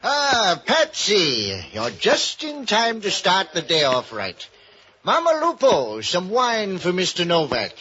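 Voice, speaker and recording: A tipsy, grandiloquent ex-doctor from an old radio detective show. His delivery swings widely in pitch with a raspy edge, wry and theatrical, on a dull vintage recording. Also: wildly swooping pitch, slow, bright, forceful tone, heavily acted. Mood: expansive, tipsy. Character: boozy, florid, philosophizing middle-aged ex-doctor sidekick. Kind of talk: 1940s radio drama acting.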